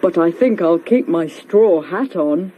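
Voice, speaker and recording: A woman voicing a cheerful, busy butcher and family dad in a children's story, brisk and organising, on a noisy recording. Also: lowish voice, animated, dark tone.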